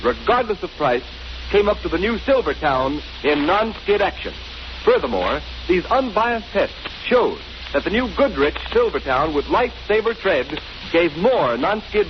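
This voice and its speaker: An American male announcer reads in 1930s radio advertising style. His high voice is emphatic and polished, pitched to sell. The old recording sounds dull, with faint noise.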